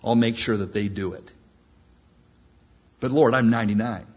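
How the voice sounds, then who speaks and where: A man's voice: medium pitch, fairly even intonation, normal speed, a little mellow, breathy edge, dull audio, natural voice. A man voicing a very old man who pleads with God, wheedling and protesting. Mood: pleading.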